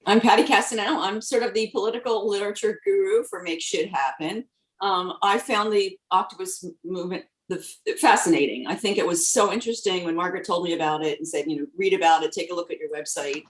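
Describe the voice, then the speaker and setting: A woman's voice: on the low side, quick pace. Chatty woman, roomy distant mic. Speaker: guest participant. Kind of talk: casual video call introduction.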